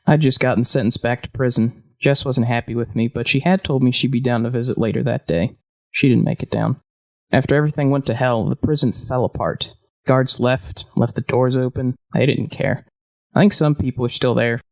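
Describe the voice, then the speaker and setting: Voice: medium pitch, steady tone, a little fast, creaky, lightly acted. An actor playing an upbeat, scrappy ex-convict in a post-apocalyptic audio drama, breezy and playfully grandiose. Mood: wistful, matter-of-fact.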